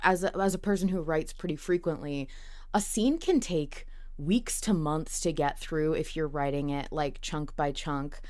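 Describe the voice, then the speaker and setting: A woman's voice: low voice, moderate pace, darkish tone. A woman co-hosting a podcast in casual chat, emphatic and informal. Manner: emphatic, casual, swears freely. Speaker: podcast co-host.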